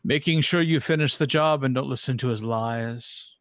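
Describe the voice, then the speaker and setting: A stern male zealot of a secretive order played by a male game master speaks formally and solemnly in a tabletop game. His mid-pitched voice gives commands, in a dry recording. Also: moderate pace, dry recording, close to an everyday voice. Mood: stern, commanding.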